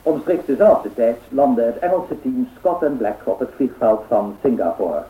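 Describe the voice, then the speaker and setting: A man acting a formal, factual 1930s radio newsreader, measured, through an old radio filter. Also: mid-range voice, lively intonation. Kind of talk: 1930s news bulletin in radio drama. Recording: tinny narrow-band radio sound.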